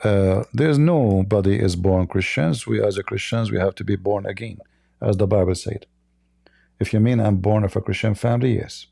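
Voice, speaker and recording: A man's voice: lowish voice, moderate pace, gravelly, warm tone, no room sound, studio quality. A man whose first language is Arabic, hosting a live call-in debate in a combative, mocking way. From Middle East. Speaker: host.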